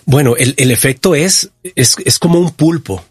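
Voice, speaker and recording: A man in his fifties with a Costa Rican accent, giving personal testimony as an interview guest in a reflective, halting way. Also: mid-range voice, breathy edge, dry recording, studio quality, soft background music. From Costa Rica. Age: 50s.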